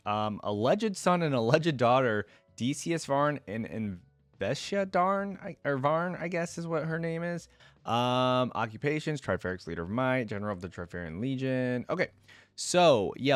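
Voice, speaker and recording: A man's voice: medium pitch, no echo. A man hosting a casual reaction video, reading from a wiki aloud and adding off-the-cuff conversational asides. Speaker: reaction video creator and host.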